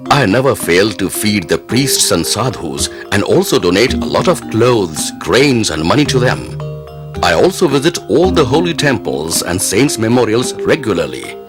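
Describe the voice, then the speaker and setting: A proud Mughal emperor in a cartoon moral tale. He is pompous and easily offended, his pitch rising and falling freely over prominent music. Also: big pitch swings, normal speed. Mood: self-satisfied. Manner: pompous, emphatic, commanding. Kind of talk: animated moral tale acting.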